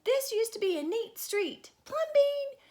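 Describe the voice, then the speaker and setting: Shrill scandalized neighbors, as a woman puts them on. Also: very animated, measured pace, exaggerated voice. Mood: outraged. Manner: high, loud, indignant exclamations.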